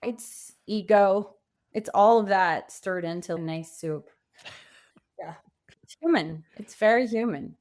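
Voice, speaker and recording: Animated, emphatic woman in interview. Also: mid-range voice, slow. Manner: animated, emphatic, informal, acts out quotes. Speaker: podcast guest, coach and author. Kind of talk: conversational interview answers.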